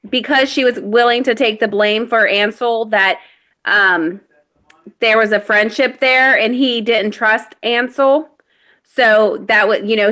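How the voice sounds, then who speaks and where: A woman's voice: on the high side, sharp tone. A woman in a casual book discussion, speaking into a laptop on a group call and reasoning things out aloud. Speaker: book club member. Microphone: laptop on group video call.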